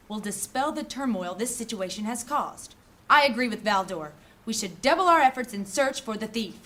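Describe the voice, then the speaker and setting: Shrewd female mage: composed, formal, deliberate, slightly high voice, fantasy audio drama. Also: fairly bright tone. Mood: calculating.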